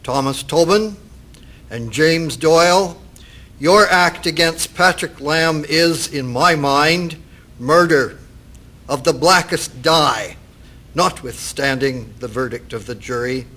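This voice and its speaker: Male actor as a stern 19th-century judge, very measured pace, slightly high voice, grave, formal, admonishing, radio play. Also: sharp tone, heavily acted. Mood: solemn, condemning. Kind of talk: radio drama acting.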